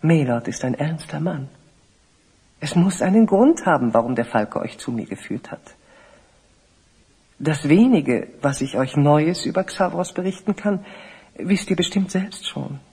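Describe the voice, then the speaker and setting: An actor playing a dignified, courteous older village host with a breathy, very husky voice and formal speech in a fantasy audio play. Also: male voice, on the high side, lively intonation, dark tone, full character voice. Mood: grave. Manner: formal, measured, hospitable.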